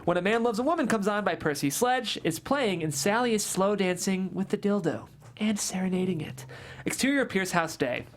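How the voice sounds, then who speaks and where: A woman reading a script's stage directions in a brisk, matter-of-fact way. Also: mid-range voice, animated. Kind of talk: scripted table read narration.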